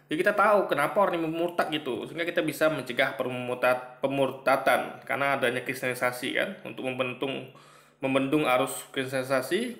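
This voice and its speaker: A man giving a halting, filler-filled religious commentary on a video he is reacting to, often repeating himself and cutting in. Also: medium pitch, creaky, bright, forceful tone. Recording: roomy echo.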